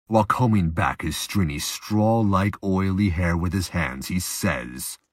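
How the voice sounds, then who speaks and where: A man with a husky voice narrating a dark audio drama slowly and ominously, with dramatic pauses. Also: sits low, no room sound, clean studio sound.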